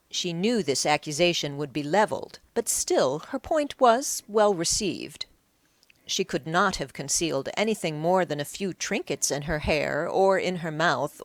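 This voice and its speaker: A woman's voice: sits low, no room sound. A woman narrating an audiobook in a dramatic, scripted storytelling style.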